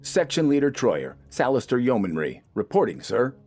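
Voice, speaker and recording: Man acting village militia sergeant, steady authority, brisk orders, lifted voice, audio story, light music behind. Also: mid-range voice, pitched above the performer's own voice. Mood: brisk, commanding. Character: steady, commanding village militia section leader, adult man.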